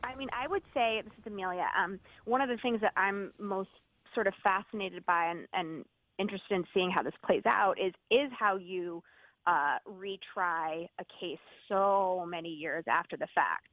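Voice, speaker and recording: A woman podcast host joining a roundtable discussion, speaking in a measured way with frequent fillers. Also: mid-range voice, no echo. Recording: tinny phone-like line.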